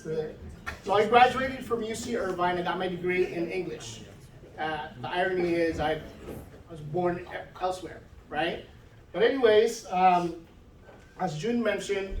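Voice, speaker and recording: Male guest speaker, middle-aged, high voice, casual and conversational, roomy reverb. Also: slight background noise. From Philippines. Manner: conversational, often asks "right?". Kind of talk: informal talk with slides. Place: event room. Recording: echoey room, mic from far off.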